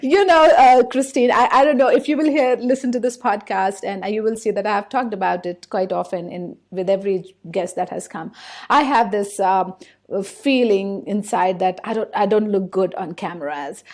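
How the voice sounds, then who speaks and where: A woman's voice: medium pitch, normal speed. Eager woman with an Indian accent. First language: not English. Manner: eager, laughs often, many fillers. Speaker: podcast host. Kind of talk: casual interview chat.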